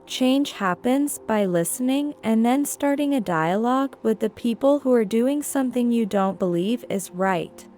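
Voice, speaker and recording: A woman reading quotations in a measured, deliberate, scripted way. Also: a little high, darkish tone. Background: background music.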